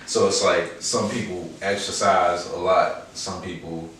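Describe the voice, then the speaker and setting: A man's voice: sits low, level tone, measured pace, a bit bright. An American man chatting casually as an interview guest, in slangy speech with frequent swearing. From Chicago, United States. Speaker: interview guest, rapper and producer. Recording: echoey, distant mic, faint noise.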